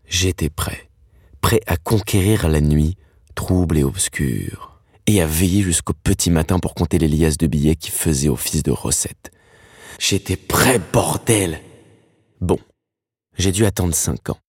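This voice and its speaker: Very deep-voiced man narrating. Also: a little mellow, breathy edge, no room sound, studio quality. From Paris, France. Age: 30s. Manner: wry, slangy, dramatic pauses. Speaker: narrator telling his own life story. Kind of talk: scripted first-person narration.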